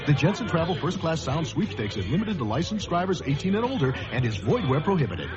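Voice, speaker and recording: A man presenting a scripted radio commercial as an animated salesman. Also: fairly high pitch, quick pace. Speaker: commercial pitchman. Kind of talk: scripted radio ad with mock interviews. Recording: dull radio ad with music.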